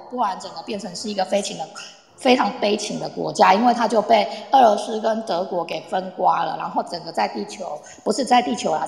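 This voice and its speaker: A woman's voice: mid-range voice, gravelly. A woman giving an informal, explanatory talk, addressing her listeners directly like a teacher. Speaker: guest speaker explaining Polish culture. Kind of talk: informal explanatory talk to a live online audience. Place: live online audio room, reverberant sound. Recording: echoey, long reverb.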